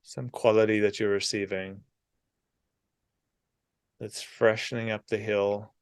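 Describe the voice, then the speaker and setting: A man leading a guided meditation in a soothing, unhurried manner, leaving long pauses between short phrases. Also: lowish voice, level tone, clear, resonant tone, dry recording, studio quality.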